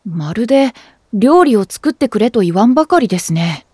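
A performer playing a shy, soft-mannered young man in an anime audio drama, polite and reserved. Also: mid-range voice, sing-song, moderate pace, breathy edge, no room sound, studio quality. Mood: mild. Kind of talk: Japanese anime drama CD acting.

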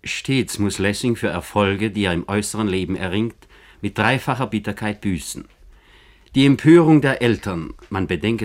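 Low-voiced, emphatic male narrator. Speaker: commentator-narrator of a literary radio programme. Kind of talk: scripted biographical narration, 1950s German radio.

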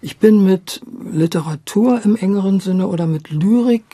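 A man's voice: sits high, moderate pace, warm tone, breathy edge, no echo. An older man from Berlin reminisces in a conversational interview, reflective and hesitant, often correcting himself as he goes. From Berlin, Germany. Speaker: interviewee, radio drama director.

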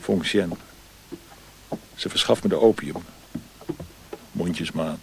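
A man's voice: sits low, takes it slowly, gravelly, a little hiss or hum, full character voice, low-quality recording. An actor playing a cynical, world-weary disgraced officer with an opium habit in a radio drama, grumbling as he talks. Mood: weary, irritated.

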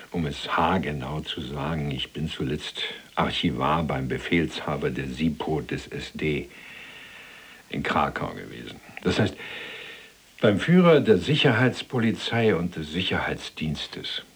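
An actor playing an imprisoned former police archivist, chatty, pedantic and evasive, with a rough, husky voice in a radio drama. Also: male voice, a bass voice, animated, measured pace, exaggerated voice. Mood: wry, defensive. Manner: folksy, hedging, placating. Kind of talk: dramatized conversation.

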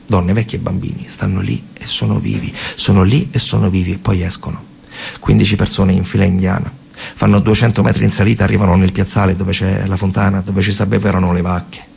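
A man with a Roman accent delivering a dramatic theatre monologue in a colloquial, emphatic way, his voice husky and gravelly. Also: sits low, darkish tone, a little hiss or hum. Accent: Roman Italian. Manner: colloquial, emphatic storytelling with repeated phrases. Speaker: stage actor and storyteller.

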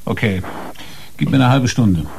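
Harried police inspector dad, creaky, lively. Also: male voice, medium pitch, unhurried, slight background noise, poor audio. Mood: curt, alert. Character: harried divorced police inspector, casual affectionate father. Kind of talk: radio play dialogue.

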